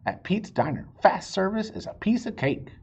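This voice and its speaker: A reassuring, folksy storybook waitress acted by a man, pitched up and very lively. The voice is husky and encouraging, on a slightly lo-fi recording. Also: moderate pace, a little hiss or hum. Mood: cheerful, encouraging. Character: friendly, reassuring diner waitress, adult woman.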